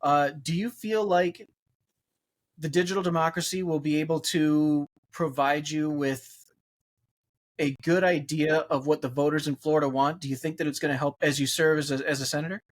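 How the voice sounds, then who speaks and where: A man's voice: on the high side, fairly even intonation, normal speed. A man interviewing a candidate in a casual, upbeat and encouraging way.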